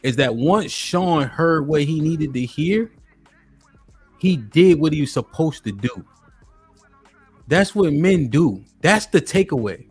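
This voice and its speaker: A man on a panel calmly summing up the point and steering the talk. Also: a little high, slow pace, long pauses, husky, faint music behind. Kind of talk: heated panel debate.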